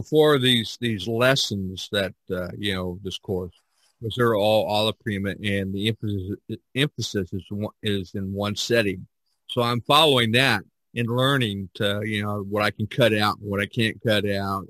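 A man hosting a casual podcast chat, talking in a rambling, halting way with frequent restarts. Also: on the low side, a bit bright, no echo, low-quality recording. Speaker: podcast host. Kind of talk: casual chat about painting.

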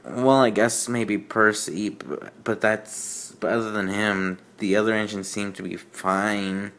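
Slow-talking, exasperated man reading fan fiction. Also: fairly low pitch, steady tone, fairly bright tone. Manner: exasperated, incredulous, halting with stammered repeats. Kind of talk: mocking read-aloud with off-the-cuff commentary.

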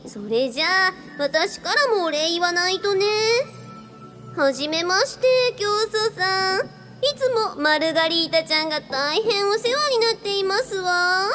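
A woman performs a flirtatious spirit of a glamorous actress for a radio play. She speaks high with playful swings, breezy and familiar, with music underneath. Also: expressive, unhurried, sharp tone, full character voice. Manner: breezy, coquettish. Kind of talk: radio drama acting.

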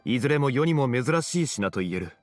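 Man as a composed, eloquent scholar gentleman. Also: medium pitch, lively intonation, faint music behind, close to an everyday voice. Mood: assured. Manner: measured, formal, eloquent. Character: calm, scholarly gentleman, ancient and refined. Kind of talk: Japanese anime game voice acting.

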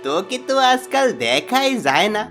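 A man playing a sly, greedy, boastful village swindler in a cartoon moral story, with an animated, coaxing manner. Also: sits high, normal speed. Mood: coaxing flattery. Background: background music.

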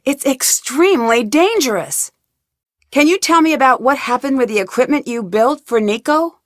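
A woman's voice: high voice, animated, normal speed, no room sound, studio quality. A woman voicing a young woman detective in game voice lines, asking earnest, inquisitive questions in a polite tone. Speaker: voice actor. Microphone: studio mic, dry.

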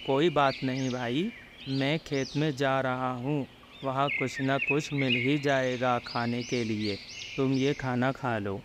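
A man playing a humble, kind village farmer in an animated moral story, speaking in a gentle, reassuring way. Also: medium pitch, slow, background noise, close to an everyday voice. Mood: kindly. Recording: background music under the voice.

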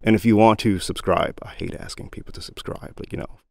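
A man's voice: on the low side, steady tone, brisk, gravelly, a little mellow, no room sound, studio quality. A man with a slightly groggy morning voice, talking casually to viewers as he reviews a microphone. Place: home studio. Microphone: budget condenser microphone through audio interface.

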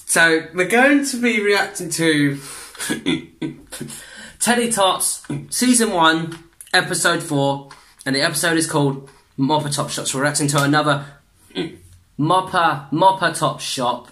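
A young man, chatting in a rambling way for a reaction vlog in his bedroom, restarting sentences, clearing his throat and swearing often. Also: fairly high pitch, takes it slowly, long pauses, pushed and bright. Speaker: vlogger reacting to videos. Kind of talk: casual reaction vlog chat. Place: small bedroom indoors.

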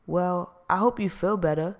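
A woman's voice: on the low side, moderate pace, darkish tone, pitched above the performer's own voice, lightly acted. Man voicing a sassy, dismissive young woman. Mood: breezy, dismissive. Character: young woman, cool and dismissive, then defiant.

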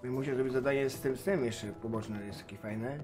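A man's voice: mid-range voice, brisk, music in the background. A man doing casual livestream commentary on a game, swearing coarsely and talking back at it and his viewers. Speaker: game streamer.

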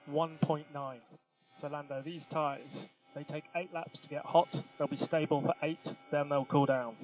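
A male race engineer with a fairly high voice talks to his driver over team radio. His callouts are clipped and procedural, and the radio link carries clear noise. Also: a little mellow, highs cut off. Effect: team radio filter. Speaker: Formula One race engineer. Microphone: radio headset. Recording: noisy motorsport radio link.

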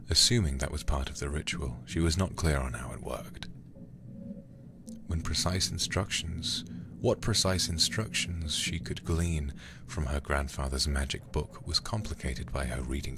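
A man whispering in a hushed, deliberate ASMR manner close to the microphone, as a streamer reading through a game. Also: extremely low pitch, background noise. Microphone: close-up ear-to-ear ASMR microphone.

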